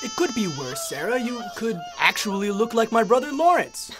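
A man's voice: sits high, very animated, normal speed, over music. A man playing a coaxing, joking father of a monster family in a comedic audio drama.

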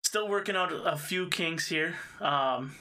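A man hosts a loose podcast chat, cracking jokes. His voice is bright and fairly high, and it is picked up from a distance with faint noise behind it. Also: slow pace. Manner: joking, loose, swears. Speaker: podcast host.

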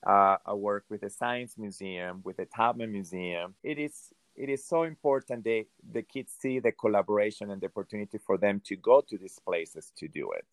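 Middle-aged guy with a venezuelan accent, lowish voice, dry recording, keeps saying you know. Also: no echo. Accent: Venezuelan Spanish. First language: Hungarian. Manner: halting, repeats words and restarts, says "you know" often. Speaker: ballet director. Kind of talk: conversational interview.

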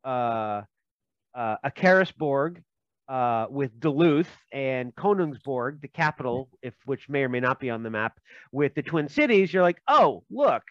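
A man from Minnesota, a game designer, talks through his ideas in a halting, joking way, full of fillers and restarts. His voice sits at a middling pitch. The audio is slightly dull and lo-fi, with a dry, close sound. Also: expressive, a bit bright, no echo. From Minnesota, USA. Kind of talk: casual interview chat. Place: home.

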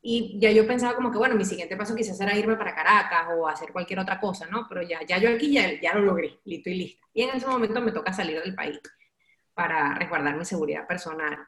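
A Venezuelan woman telling personal stories candidly as an interview guest, in an expressive, colloquial and confiding way. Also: medium pitch, fast. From Valencia, Venezuela. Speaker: interview guest, radio and event host. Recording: roomy, echoing sound.

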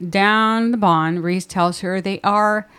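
A woman in her thirties with a deeper voice, co-hosting a podcast and recapping book chapters in casual, giggly chat that often trails off. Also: takes it slowly, a bit bright, smooth. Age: 30s. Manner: giggly, chatty, often trails off mid-sentence. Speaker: podcast co-host.

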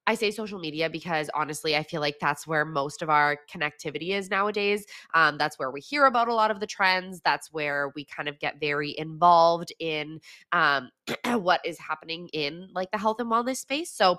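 A young woman hosting a podcast, chatting frankly with fillers, her voice raspy and congested from a cold. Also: low-pitched, normal speed, pushed and bright. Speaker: podcast host. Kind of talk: casual solo podcast talk; scripted intro over music.

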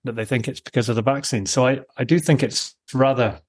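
A man around fifty with a husky voice, chatting casually in a musing, opinionated way and often interrupting himself. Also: medium pitch, fairly even intonation, fairly quick. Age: late 40s to early 50s. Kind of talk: casual conversation.

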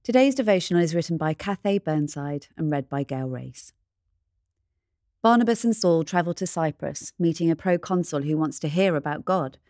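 Low, mellow woman reading devotional. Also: lively intonation, moderate pace, dry recording, clean studio sound. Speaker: devotional reader. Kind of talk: scripted devotional narration.

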